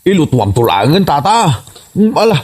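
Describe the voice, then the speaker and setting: An actor playing a cheeky, greedy working husband with an Ilocano accent in a radio comedy drama, husky and wheedling. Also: male voice, a little high, sing-song, moderate pace. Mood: wheedling, excited. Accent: Filipino, Ilocano.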